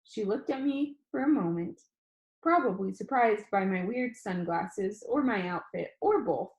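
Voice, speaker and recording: A woman's voice: mid-range voice, expressive, unhurried, echoey room, low-quality recording. A woman teacher speaking informally and expressively to an online class, as when reading a story aloud. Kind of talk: classroom read-aloud, first-person storytelling. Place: indoor room, online class call.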